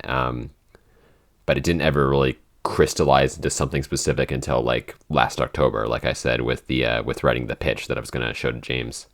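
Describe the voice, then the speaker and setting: A man in a casual unscripted podcast Q&A, talking in a halting, rambling way with lots of "like". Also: a bass voice, flat delivery. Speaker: podcast creator and co-host answering listener questions.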